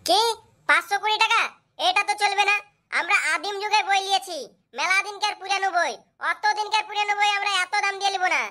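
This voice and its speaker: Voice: extremely high pitch, fairly even intonation, quick pace, bright, forceful tone. A performer voicing a cheeky, pushy schoolboy customer in a comedy cartoon, with whiny, insistent delivery. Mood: haggling. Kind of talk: comic cartoon dubbing.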